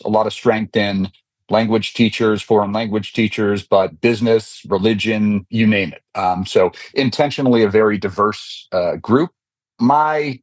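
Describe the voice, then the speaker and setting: A man founding and hosting a student program, introducing it loosely with pauses and fillers on a video call. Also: fairly low pitch, a little mellow, no room sound.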